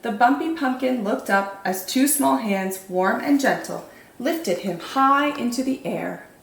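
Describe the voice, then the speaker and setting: A woman hosting storytime, reading picture books in an expressive, animated way for young children. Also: a little high, measured pace, creaky, echoey room, slight background noise. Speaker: children's storytime host and reader. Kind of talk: expressive picture-book narration.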